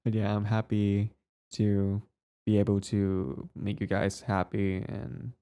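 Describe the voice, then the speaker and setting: A man's voice: on the low side, little pitch movement, takes it slowly, mellow, clean tone. A man who hosts an ASMR channel, chatting casually to his listeners in a hesitant, rambling way. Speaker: ASMR voice performer, channel host.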